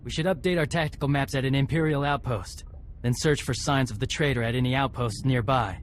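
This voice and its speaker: A man's voice: medium pitch, a little fast, a little hiss or hum, lightly acted. Man acting determined, clipped young warrior. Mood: resolute. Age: young adult. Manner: clipped, earnest. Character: determined, stoic young male Mandalorian warrior. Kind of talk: dramatic video game dialogue. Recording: faint game music behind the voice.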